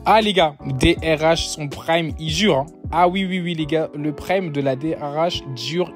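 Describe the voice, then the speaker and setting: A man's voice: on the high side, unhurried, faint music behind. A young man casually ranking weapons in a mobile shooter, chatty and full of filler words and gamer slang, talking straight to his viewers. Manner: loose, chatty, constant fillers and "tout simplement" restarts; gamer slang, addresses viewers as "les gars". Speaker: mobile game content creator.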